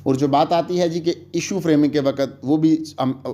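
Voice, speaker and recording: A man giving a recorded law lecture, explaining slowly and mixing English legal terms into his speech. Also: a little high, fairly even intonation, warm tone, dull audio.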